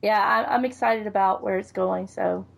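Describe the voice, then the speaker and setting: A woman's voice: medium pitch, fairly even intonation, normal speed, gravelly. A woman with a Southern US accent, hosting a podcast in casual interview chat. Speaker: podcast host.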